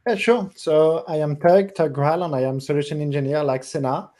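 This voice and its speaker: A man from France with a French accent, a solutions engineer introducing himself in a webinar with halting speech and many fillers. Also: fairly high pitch, dark tone. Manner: hesitant, many fillers and restarts. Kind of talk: casual self-introduction in a webinar.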